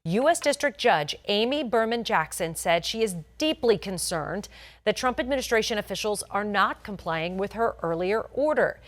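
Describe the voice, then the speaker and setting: A woman anchoring a morning TV newscast, reading scripted stories with polished, emphatic delivery. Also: medium pitch. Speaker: news anchor. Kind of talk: scripted morning news broadcast.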